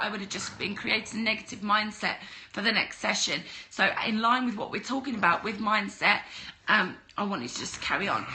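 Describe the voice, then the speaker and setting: A young woman with a cold and a croaky voice, chatting on a livestream and giving blunt mindset advice. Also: a little high, bright, forceful tone, a little hiss or hum, low-quality recording. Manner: chatty, greets viewers, blunt tough-love advice. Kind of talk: casual livestream talk to viewers.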